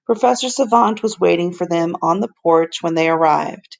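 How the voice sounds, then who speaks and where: Woman reading a storybook, creaky voice. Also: lowish voice, moderate pace. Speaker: teacher reading aloud. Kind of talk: expressive storybook narration for children.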